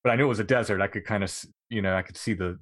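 Man, middle-aged, deep-ish voice, dry sound; halting interview storyteller. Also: fairly bright tone, dry recording. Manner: halting, many restarts and fillers, occasional laughs. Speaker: guest recounting experiences.

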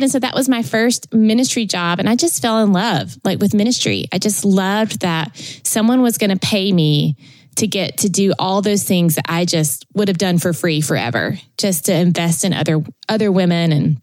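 A woman in her thirties from Tennessee talks as a podcast guest at a middle pitch, in a chatty, rambling way. She laughs often and fills pauses with "um" and "like". The sound is dry and close, with no room echo. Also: a little mellow. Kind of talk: casual interview storytelling.

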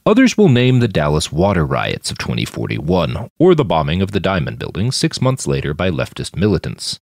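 An earnest male history teacher lectures his class in an audiobook, voiced by a male narrator. He is measured and didactic, in a lowish voice with wide swings of pitch. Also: gravelly, slightly rough, no room sound, heavily acted.

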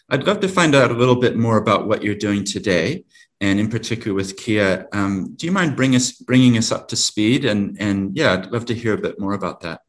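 Low-voiced male interviewer, encouraging. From New Zealand. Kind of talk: conversational interview.

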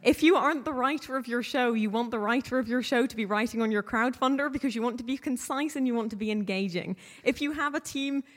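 A woman's voice: on the high side, fast, few pauses. A woman speaking as a panel guest, giving informal advice in a wry, casual way. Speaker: panel guest, podcast creator. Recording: echoey hall with long reverb.

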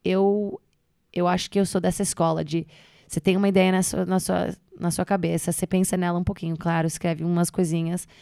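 A woman's voice: lowish voice, flat delivery, brisk, vocal fry, warm tone, clear, resonant tone, no echo, studio quality. Chatty Brazilian woman comedian. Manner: loose and chatty, many fillers, mixes in English words. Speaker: stand-up comedian, guest. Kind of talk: casual interview chat.

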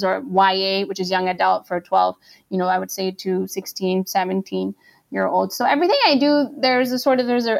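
A woman's voice: medium pitch, creaky. A woman from Pakistan, a translator guest in an interview, who talks in a chatty, casual way full of fillers. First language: not Arabic. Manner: chatty, full of "like" and "you know".

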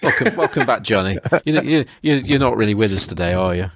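A man's voice: on the low side, lively intonation, fast talker. A man hosting a casual chat, talking hesitantly and often repeating words while he thinks. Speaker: podcast host.

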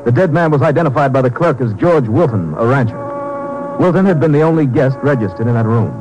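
A man's voice: medium pitch, lively intonation, darkish tone, poor audio. Formal male narrator in an old radio play, music under. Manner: measured, formal. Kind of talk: scripted narration.

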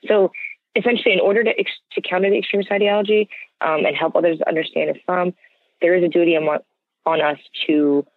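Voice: medium pitch, steady tone, a little fast, dry recording. An American interview guest speaking earnestly and conversationally, explaining points and often checking with "right?". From United States. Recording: narrow, tinny phone-line sound.

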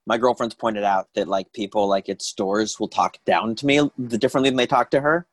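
A man's voice: lowish voice, no echo. Joking young man on a dry call. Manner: joking, self-deprecating, talks in quick asides. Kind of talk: casual chat.

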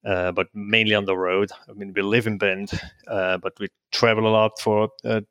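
Swiss man with a low, dry voice. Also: little pitch movement, moderate pace, a bit bright, clean tone, no room sound. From Switzerland. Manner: self-deprecating, chuckling, with restarts. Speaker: guest, travel photographer. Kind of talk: casual interview chat.

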